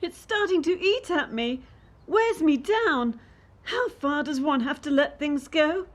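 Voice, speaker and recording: High-voiced, anxious young female gymnast. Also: expressive, unhurried. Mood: uneasy, suspicious. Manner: anxious, aggrieved, brooding. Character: distressed, idealistic young female competitive gymnast. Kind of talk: radio play acting.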